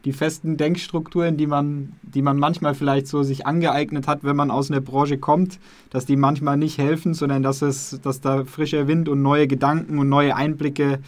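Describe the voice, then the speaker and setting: A young man hosts a podcast interview. His voice is slightly higher than most men's. He asks friendly questions that wander, with plenty of fillers and restarts along the way. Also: little pitch movement, moderate pace. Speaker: podcast host.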